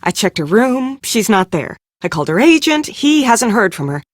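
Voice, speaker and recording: An actress playing a brisk, sharp-tongued, exasperated woman on a film crew in an adventure game, speaking with sarcastic emphasis. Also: a little high, sing-song, no echo, studio quality. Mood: worried. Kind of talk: scripted game character dialogue.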